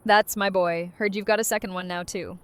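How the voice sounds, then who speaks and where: A woman's voice: mid-range voice, fairly even intonation, brisk, fairly bright tone, close to an everyday voice. Woman acting a brash, blunt young leader. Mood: teasing. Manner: casual, blunt, profane. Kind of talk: fan audio drama acting.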